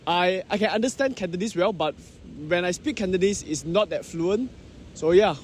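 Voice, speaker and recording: Brief: man from Malaysia, high voice, street chat with faint traffic. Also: normal speed. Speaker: street interviewee. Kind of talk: casual street interview answers.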